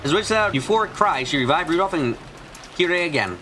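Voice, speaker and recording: A man's voice: on the high side, animated, fast, bright, forceful tone, a little hiss or hum. A man streaming a visual novel, reading the narration aloud and sometimes stumbling over words.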